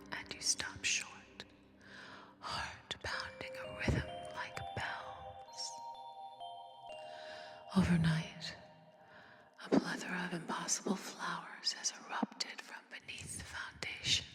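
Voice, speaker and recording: A woman whispering a scripted ASMR fantasy story softly and deliberately, with dramatic pauses, close on a binaural microphone. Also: on the low side, in a large hall.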